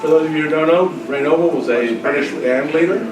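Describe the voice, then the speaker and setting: An older man with a high voice runs a quiz, calling out questions and answers. He is picked up from a distance in an echoey room, with people chattering around him. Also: fast talker, noisy background. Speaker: quiz master. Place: large room at a club luncheon.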